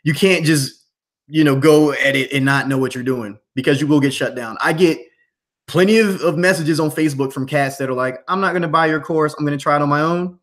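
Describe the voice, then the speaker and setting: A man's voice: fairly high pitch, brisk, a bit bright. A man chatting casually and earnestly as a guest promoting his course, full of slang and repetition.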